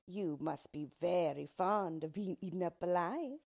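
A woman voicing an ugly little yellow dwarf man from a storybook, smug, sly and disdainful, speaking in a gloating, mock-polite, emphatic way. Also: fairly low pitch, measured pace, vocal fry. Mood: taunting, impatient. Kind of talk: storybook character reading.

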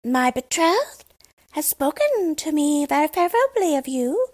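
A woman's voice: sits high, big pitch swings, unhurried, pitched above the performer's own voice. Woman doing a prim, courtly female crow. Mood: gracious. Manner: formal, polite.

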